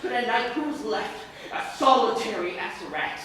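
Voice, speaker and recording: A man's voice: high voice, moderate pace, bright, forceful tone. A man acting on stage as a distraught, hapless man around forty, agitated, repetitive and melodramatic. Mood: self-pitying. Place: large echoey room, far microphone. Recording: echoey distant stage recording, noisy.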